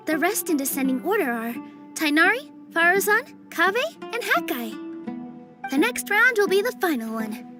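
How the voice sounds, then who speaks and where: A woman's voice: very high voice, exaggerated voice. An actress voicing a cheerful female contest announcer with an upbeat, announcing manner. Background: game music.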